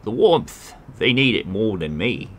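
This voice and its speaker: Man playing a low-voiced, humble old beggar. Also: big pitch swings, measured pace, a bit bright, full character voice. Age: older. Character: poor, humble old male beggar, trusting and stubborn. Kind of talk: animated fairy tale voice acting. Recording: music under the voice.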